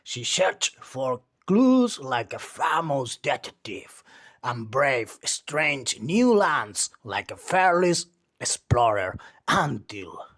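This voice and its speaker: A man reading a children's picture book aloud, with theatrical delivery and drawn-out emphasis on key words. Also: fairly high pitch, fairly bright tone. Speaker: children's storytime reader.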